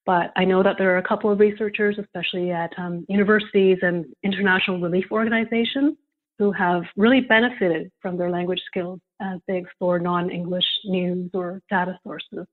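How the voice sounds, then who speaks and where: A young woman from Canada explains her daily work in a steady, measured way. Her voice comes through a tinny, phone-like line, sitting in a middle pitch range for a woman. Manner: measured, explanatory, occasional soft laugh. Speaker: guest, solo prospect researcher. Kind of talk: interview answers.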